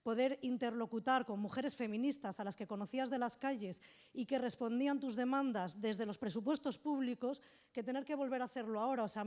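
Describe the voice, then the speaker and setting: A woman's voice: fairly high pitch, a little mellow. A woman from Madrid giving earnest, emphatic political remarks from the floor of an assembly in a conference hall. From Madrid, Spain. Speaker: assembly participant.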